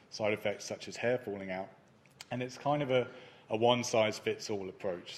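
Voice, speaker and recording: A man presenting his research at a conference, speaking in a measured way with frequent ums and uhs. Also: on the low side, a little hiss or hum. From not Germany. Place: conference hall.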